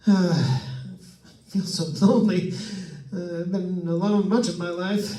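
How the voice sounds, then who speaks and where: A man acting out a nervous radio host trapped in a haunted house, with a high-pitched voice. He talks slowly, stammering and rambling with forced cheer in old-time suspense drama fashion. The sound is echoey and distant from the microphone. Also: level tone, warm tone. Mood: lonely, melancholy.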